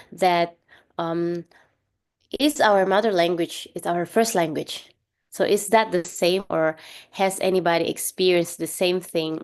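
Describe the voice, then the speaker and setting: Indonesian-accented young woman presenting online. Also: lowish voice. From Indonesia, Sulawesi (Toraja). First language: Toraja. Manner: earnest, explanatory, many "um" and "uh" pauses. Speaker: workshop presenter. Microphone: video call.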